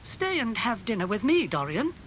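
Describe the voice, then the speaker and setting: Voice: medium pitch, sing-song, moderate pace, fairly bright tone, muffled recording, slight background noise, pitched above the performer's own voice. A narrator playing an earnest, wounded male painter and devoted friend in a dramatised audiobook. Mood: sad.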